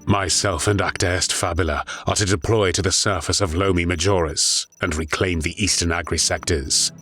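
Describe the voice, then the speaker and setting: Man playing very deep, bitter veteran commander. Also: steady tone, normal speed, sharp tone, soft background music. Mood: bitter, impatient. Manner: gruff, clipped, sardonic. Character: bitter, weary veteran male war-machine commander, gruff and contemptuous.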